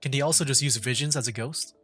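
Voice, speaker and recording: Guy streaming a game, casual chatty commentary, average pitch, music playing behind. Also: fast. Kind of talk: casual live gameplay commentary. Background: video game music.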